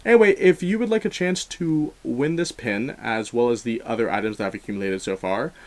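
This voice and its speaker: A man's voice: medium pitch, a little fast. A man hosting a video show, talking casually to viewers in a playful, self-mocking way. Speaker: host of a film review show. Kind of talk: casual vlog outro announcing a giveaway.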